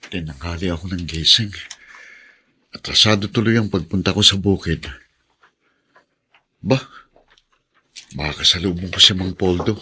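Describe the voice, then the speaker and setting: An actor playing a peaceable young Filipino farmer who is respectful yet firm, in radio drama style. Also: male voice, low-pitched, moderate pace, pauses often, bright, forceful tone, slight background noise. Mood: hurried.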